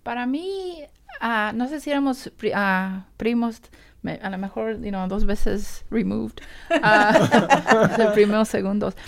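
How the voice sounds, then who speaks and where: A woman from Mexico speaks in a relaxed group conversation. Her voice is mid-pitched for a woman. She drops English fillers between her sentences. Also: slow, vocal fry. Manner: mixes in English fillers, light laughs. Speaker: guest sharing travel experience.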